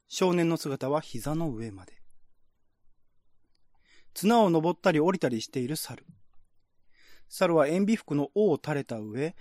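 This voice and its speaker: A man's voice: on the high side, a little mellow, no echo, clean studio sound. A man who works as a radio drama host and narrator, presenting and reading scripts.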